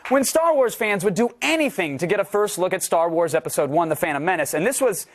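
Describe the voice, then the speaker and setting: An American man co-hosting a TV show with loose, halting comic banter. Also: high-pitched, lively intonation, slight background noise. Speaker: TV show co-host.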